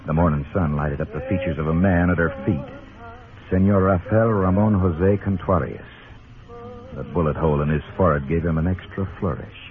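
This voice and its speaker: An actor playing a world-weary, sardonic U.S. marshal in a noir radio Western. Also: male voice, sits low, moderate pace, a crowd in the background, natural voice. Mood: grim, weary. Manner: terse, sardonic. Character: hard-boiled, world-weary Western United States marshal. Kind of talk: noir radio drama narration and dialogue. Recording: tinny old radio recording.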